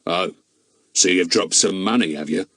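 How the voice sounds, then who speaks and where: A surly, grasping middle-aged gatekeeper grumbles and snaps in a gruff, rough voice, put on by a man reading aloud. The sound is close and dry. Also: sits low, normal speed, a bit bright, no echo. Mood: suspicious. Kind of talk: audiobook character voice.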